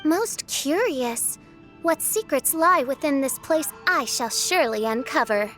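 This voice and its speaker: Very high-pitched theatrical girl. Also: a little slow, soft background music. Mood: grandiose. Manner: dramatic, ornate, expressive. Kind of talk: anime-style video game voice acting.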